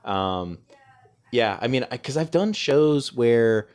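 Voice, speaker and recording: A man's voice: mid-range voice, expressive, slow pace, a little mellow, smooth. A man hosting a casual comedy podcast, talking in a hesitant, rambling and self-deprecating way. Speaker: podcast host and stand-up comedian.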